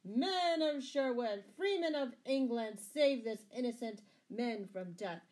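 A woman's voice: on the high side, moderate pace, darkish tone, voice pushed up, lightly acted. A woman reading a storybook, voicing a bold, gallant male outlaw leader in a declamatory manner. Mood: rallying.

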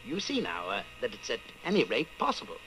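A man as a sharp-voiced, menacing inquisitor. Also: mid-range voice, huge pitch swings, slight background noise, poor audio. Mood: calm authority. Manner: measured, condescending, commanding. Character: coldly patient, menacing male inquisitor of a totalitarian party. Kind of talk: old-time radio drama acting.

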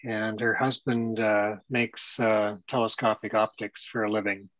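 Bright-voiced man presenting casually. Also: fairly low pitch, level tone, measured pace, dry recording, muffled recording. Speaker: presenter at an online astronomy meeting. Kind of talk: casual commentary on shared images. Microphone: video call microphone.

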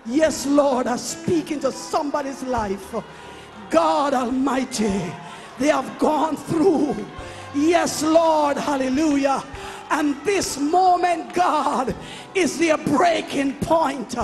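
A man's voice: very high-pitched, slow pace. A man preaching and praying in a fervent, declamatory charismatic style, repeating phrases for emphasis. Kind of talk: fervent charismatic sermon and prayer. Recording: live worship service with music and crowd. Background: music and congregation.

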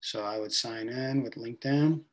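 A young man giving a relaxed step-by-step tutorial, with frequent ums, recorded on a laptop webcam in a room at home. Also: mid-range voice, normal speed. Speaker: event staff giving a tutorial. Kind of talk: casual screen-share walkthrough.

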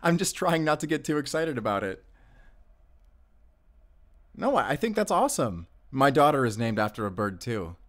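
A mid-pitched man's voice , an actor's, as an awkward, friendly single dad in an audio roleplay. He rambles nervously and apologises often, sounding like a flustered bird-loving neighbour. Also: very animated, normal speed, pauses often, lightly acted. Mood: chatty, sheepish. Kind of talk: audio roleplay addressed to the listener.

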